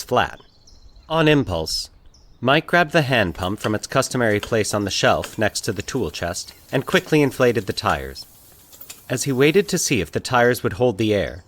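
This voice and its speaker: Low-pitched American male storyteller. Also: a bit bright. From Colorado, United States. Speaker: fiction podcast narrator. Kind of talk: scripted story narration.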